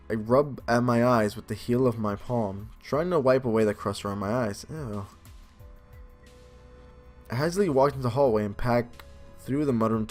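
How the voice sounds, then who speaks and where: A man doing a casual let's play of a game, moving between commentary and reading aloud. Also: medium pitch. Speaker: game video creator. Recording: music behind the voice. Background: game music.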